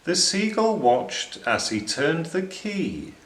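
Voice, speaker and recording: A man reading a children's story aloud in an expressive narrating style. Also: fairly high pitch, slow pace. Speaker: story reader. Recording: echoing room.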